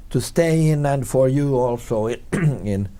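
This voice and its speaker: A man's voice: medium pitch, lively intonation, mellow. A middle-aged man from Finland whose first language is Swedish, speaking English as an interview guest with frequent repeats and restarts. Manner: halting, many repeated words and restarts. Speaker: guest, tourism consultant. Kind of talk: conversational interview answers.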